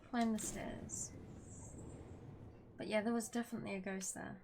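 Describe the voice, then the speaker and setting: A woman's voice: medium pitch, steady tone, moderate pace, background noise. A young woman playing a horror game at home, chatting casually, swearing now and then and reading the story text aloud. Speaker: gaming video creator. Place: at home with her dog.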